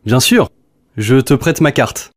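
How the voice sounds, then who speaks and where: A man with a medium-pitched voice reads brief scripted replies. Each is delivered as an answer option in a language exercise. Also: expressive, slow pace. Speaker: voice reader for listening test. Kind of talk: scripted short answer reading.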